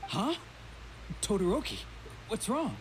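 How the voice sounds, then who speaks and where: A man's voice: high-pitched, huge pitch swings, unhurried, slight background noise, heavily acted. A man voicing a timid, confused soldier in a video game, stammering and hesitant.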